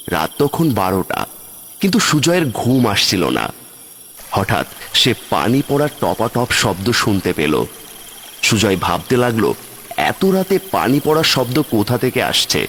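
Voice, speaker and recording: A man's voice: fairly low pitch, fairly bright tone, slight background noise. A man giving scripted narration for a cartoon horror story.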